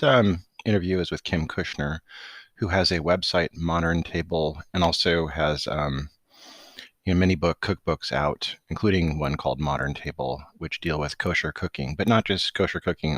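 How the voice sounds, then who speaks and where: A man with a low voice works as a podcast host, moving between casual introductions and interview questions. The sound is dry and close, with little room on it. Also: fairly bright tone, dry recording.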